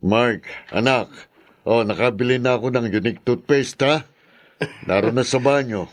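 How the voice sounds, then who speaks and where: A man's voice: medium pitch, moderate pace, close to an everyday voice. Actor as a grumbling middle-aged Filipino father. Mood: fatherly. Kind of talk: radio drama acting.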